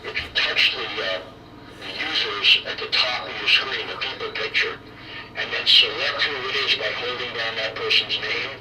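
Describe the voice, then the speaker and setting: A man's voice: fairly low pitch, fairly even intonation, normal speed, a crowd in the background. A man calling in over a walkie-talkie, chatting casually and a little hesitantly. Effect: transmitted through walkie-talkie app. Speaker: caller on a group radio channel. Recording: echoey, noisy, distant radio transmission.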